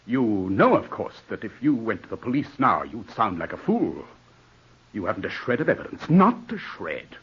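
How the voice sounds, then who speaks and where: An actor playing a proud, ruthless gentleman in an imperious, scornful, theatrical manner for a 1940s radio drama. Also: fairly low pitch, big pitch swings, normal speed, husky, exaggerated voice. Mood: cold, threatening. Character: proud, ruthless gentleman clinging to respectability and power. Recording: dull old 1940s radio broadcast.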